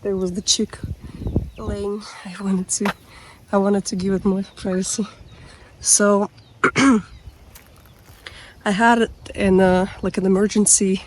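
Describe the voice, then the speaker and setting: Hoarse woman vlogger talking outdoors on a trail, slow and unhurried, reflective, pitch sitting mid-range. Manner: reflective, musing, loose with fillers. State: hoarse, recovering voice. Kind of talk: casual walk-and-talk vlog. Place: outdoors on a forest hiking trail.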